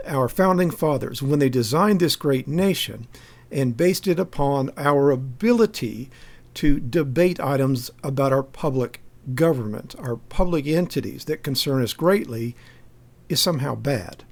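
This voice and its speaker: An American man delivering spoken rebuttal commentary in an emphatic, pointed, argumentative way. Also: mid-range voice. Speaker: activist commentator giving a response.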